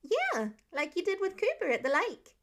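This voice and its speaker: A woman's voice: very high voice, huge pitch swings, moderate pace, a bit bright, voice pushed up, full character voice. A woman voicing an eager, blunt kindergarten-age boy, chirpy, earnest and full of questions. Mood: eager, curious.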